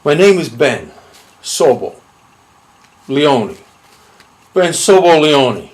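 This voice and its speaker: Guy doing a loud rambling mob advisor, pitched up, full of himself, comic monologue. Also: very animated, drawn-out words, full character voice, low-quality recording. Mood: blustering. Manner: blustering, rambling, self-important. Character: loud, rambling, shady mobster consigliere with many nicknames.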